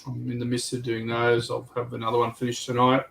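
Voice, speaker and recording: A man with a gravelly, creaky voice of middling pitch talks casually on a hobby livestream. There is faint background noise under his voice. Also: monotone, brisk, fairly bright tone. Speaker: guest contributor on a hobby livestream.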